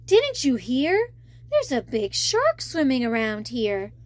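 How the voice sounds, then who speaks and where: Lady doing a nervous little boy fish for a kids story, high voice, really swoopy. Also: wildly swooping pitch, slow, full character voice. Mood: worried. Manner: nervous, hesitant. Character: timid, anxious young male fish friend. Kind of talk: children's storybook read-aloud.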